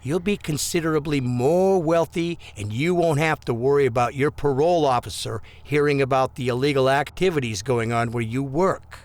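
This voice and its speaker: A man's voice: medium pitch, normal speed, few pauses, close to an everyday voice. A man playing a corrupt, bullying policeman in an audio drama, mock-friendly and insinuating as he leans on people. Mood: threatening, insinuating. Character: corrupt small-town policeman, smooth, bullying blackmailer.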